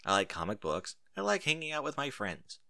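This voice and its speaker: A brash young pegasus mare from a cartoon fan story, as a male reader plays her. She talks bluntly and casually, with animated pitch and a bright tone. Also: fairly quick, creaky, no echo, clean studio sound, heavily acted. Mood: shy, friendly.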